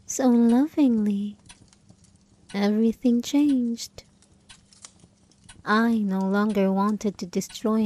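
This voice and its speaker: A woman performs a haunted porcelain doll, possessive and vengeful, in an intimate audio roleplay. The voice is soft and mellow, slightly breathy, and takes its time, coaxing the listener with quiet menace. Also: mid-range voice. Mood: tender, obsessive.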